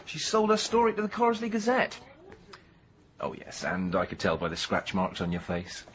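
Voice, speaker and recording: A man's voice: sits low, wildly swooping pitch, moderate pace, fairly bright tone, exaggerated voice. Reassuring Englishman, slightly muffled sound. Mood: gently joking. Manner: reassuring, matter-of-fact. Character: kindly, gently joking male visitor friend. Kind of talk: radio drama acting.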